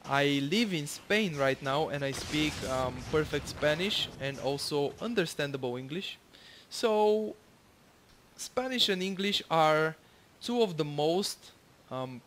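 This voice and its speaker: A man's voice: a little high, fairly bright tone, slight background noise. A young man from Bulgaria with a Bulgarian accent, casually commentating his own match, rambling with lots of fillers and the odd swear.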